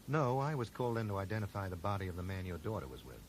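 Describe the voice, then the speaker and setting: An actor playing a dogged, dry-witted bookseller who questions people like a detective, direct and wry, in a radio drama. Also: male voice, low voice, sing-song, rapid-fire. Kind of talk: 1980s radio drama acting. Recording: noisy 1980s radio broadcast.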